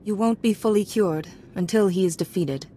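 A woman's voice: mid-range voice, normal speed, mellow, a little hiss or hum, lightly acted. Woman as a composed young Jedi heroine. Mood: composed, earnest. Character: composed, dutiful young female Jedi hero. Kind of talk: video game voice acting.

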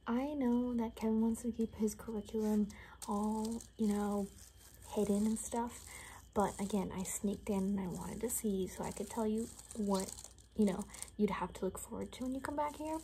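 A young woman speaking casually in a hushed, half-whispered ASMR roleplay style, close to the mic in a room. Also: mid-range voice, normal speed, rough recording. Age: young adult. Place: small furnished room indoors. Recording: noticeable background noise.

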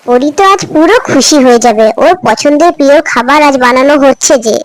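A woman's voice: sits high, fairly quick, no echo, close to an everyday voice, poor audio. A woman playing a caring village housewife and mother in a children's cartoon, talking in a homely, chatty way. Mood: contented. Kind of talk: Bengali children's cartoon story dialogue.

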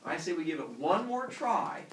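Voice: medium pitch, big pitch swings, normal speed, a bit bright, voice pushed up. A teacher voicing a hopeful female earth goddess who coaxes her husband in casual, modern wheedling talk. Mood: coaxing. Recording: roomy, distant mic, faint noise.

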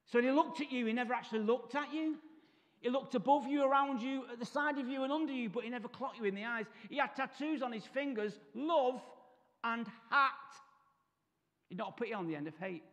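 A Mancunian man in his fifties tells his life story from a church stage, his voice pitched unusually high. He keeps the room laughing with comic asides and snaps into other people's voices. A long reverb from the hall trails behind his words. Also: fairly bright tone. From Salford, Manchester. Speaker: guest speaker. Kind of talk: testimony talk at a church service.

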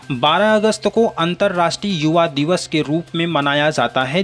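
A man presenting a scripted news bulletin in a formal, measured way. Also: a little high, a bit bright. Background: background music.

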